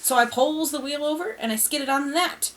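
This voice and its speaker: A woman voicing an excitable, indignant working-class lorry driver. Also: high voice, a little slow, slight background noise, pitched above the performer's own voice.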